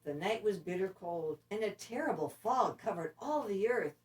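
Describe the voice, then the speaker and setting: A woman narrating a children's story expressively, with deliberate, dramatic phrasing. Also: medium pitch, lively intonation. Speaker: storybook reader. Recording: distant microphone.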